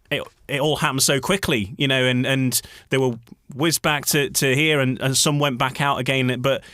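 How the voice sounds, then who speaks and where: A man's voice: medium pitch, fairly bright tone, no room sound. A male radio host with a mid-range voice interviews a guest on a chat show. He falters and repeats words as he thinks aloud, recorded close and dry with no room sound. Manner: hesitant, many repeated words when ad-libbing.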